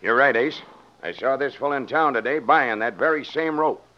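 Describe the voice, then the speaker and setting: An actor playing an authoritative sheriff in an old radio western, with an American accent and a blunt, folksy manner. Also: male voice, mid-range voice, normal speed, lightly acted. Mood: stern, challenging. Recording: muffled, slightly noisy vintage radio.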